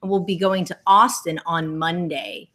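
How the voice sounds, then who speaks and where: A woman's voice: on the low side, steady tone, unhurried, sharp tone. A young woman co-hosting a casual livestream from a living room couch, chattily reading viewer questions off her phone. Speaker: reseller livestream co-host. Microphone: fixed camera across the room.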